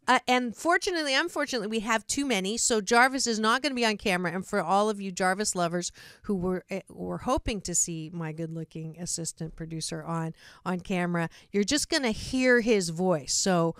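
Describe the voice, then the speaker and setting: A woman's voice: mid-range voice, fairly bright tone, clear, resonant tone, no room sound, clean studio sound. Bubbly laughing woman radio host. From Canada. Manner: bubbly, laughs often, chatty with restarts. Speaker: radio talk show host. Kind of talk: casual radio chat with guests.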